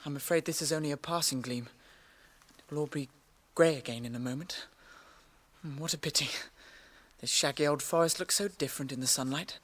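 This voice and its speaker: A man's voice: fairly high pitch, brisk, a bit bright. An actor in a radio drama playing a young male hobbit, polite, curious and eager. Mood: wistful.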